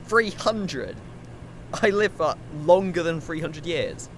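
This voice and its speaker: A man in an audio drama playing a naive, incredulous man questioning his future self in halting phrases. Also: on the high side, animated, slight background noise. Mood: astonished.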